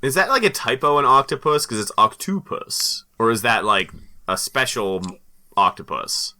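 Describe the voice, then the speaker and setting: A man's voice: mid-range voice, expressive, moderate pace, pushed and bright, soft background music. A man co-hosting a podcast, bantering casually with wry, quibbling asides. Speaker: podcast co-host.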